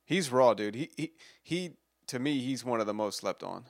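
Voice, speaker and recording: A man's voice: medium pitch, animated, moderate pace, dry recording, studio quality. A man in casual conversation, enthusiastic and swearing freely as he praises others. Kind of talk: casual chat.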